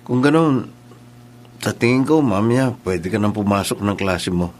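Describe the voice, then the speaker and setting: A man's voice: fairly low pitch, lively intonation, measured pace. An actor playing a friendly, teasing male school nurse in a radio drama. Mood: kindly.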